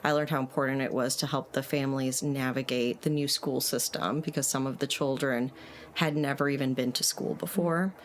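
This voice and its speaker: Low-voiced Puerto Rican woman, soft-spoken. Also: steady tone, brisk, slight background noise. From Puerto Rico. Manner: soft-spoken, many fillers. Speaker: guest psychologist. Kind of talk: casual interview.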